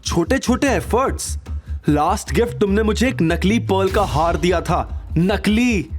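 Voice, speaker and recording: A man's voice: high-pitched, lively intonation, measured pace, soft background music, voice pushed up. A man voicing a scornful young wife, sneering bitterly at her husband in an audio drama. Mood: contemptuous.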